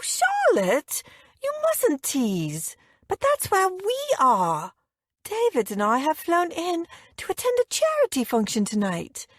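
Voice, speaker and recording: An overbearing society mother, as a woman narrator puts her on, trills in a high voice. She swoops through her lines with theatrical airiness and cutting insistence, recorded close and dry. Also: no room sound. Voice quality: trilling, crisp. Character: posh, overbearing wealthy mother, shrill and breezily insistent. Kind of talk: audiobook character dialogue.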